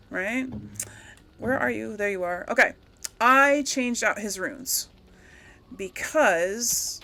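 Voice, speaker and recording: A woman's voice: medium pitch, sharp tone. A woman doing casual game commentary, rambling and thinking aloud as she talks to herself. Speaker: gaming video host.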